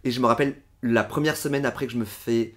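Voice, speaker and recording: A man's voice: mid-range voice, fairly even intonation, fairly bright tone. Playful man joking on a podcast. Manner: playful, quick with questions and puns. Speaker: podcast co-host. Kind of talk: casual chat.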